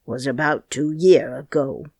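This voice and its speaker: A woman voicing a crabbed, stubborn old gardener with a Yorkshire dialect, speaking dryly and slowly. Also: very deep voice, gravelly, darkish tone, no echo, full character voice. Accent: Yorkshire dialect, put on. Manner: dry, grumbling, slow. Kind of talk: audiobook character reading.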